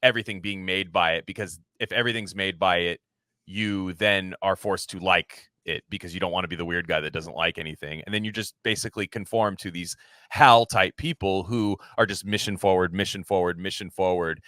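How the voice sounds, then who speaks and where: A man co-hosting a podcast, chatting casually about films in an emphatic, halting, sometimes profane way full of filler words. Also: low voice, steady tone, sharp tone, no echo, clean studio sound. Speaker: podcast co-host. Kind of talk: casual film discussion.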